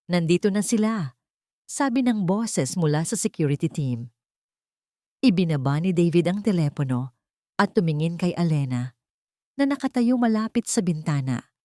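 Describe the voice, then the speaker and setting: A woman's voice: sits low, mellow, no room sound, studio quality. A woman narrating a scripted love story in a dramatic storytelling style.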